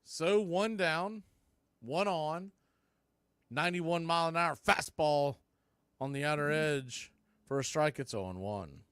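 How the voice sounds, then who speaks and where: A man calling live baseball play-by-play in punchy short phrases, getting excited on big plays. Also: on the high side, slow, pauses often, clean tone, dry recording, clean studio sound.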